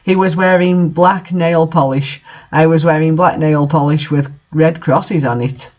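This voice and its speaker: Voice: medium pitch, fairly even intonation, a little slow, darkish tone. A reader narrating fan fiction and commenting on it casually, with an incredulous, sarcastic, sweary manner. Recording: dull lo-fi home recording.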